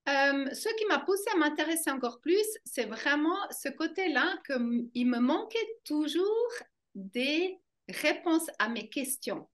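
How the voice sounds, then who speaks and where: Woman, slightly high voice, animated, conversational personal account. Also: measured pace. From German-speaking Switzerland. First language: German. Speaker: interview guest, fascia trainer.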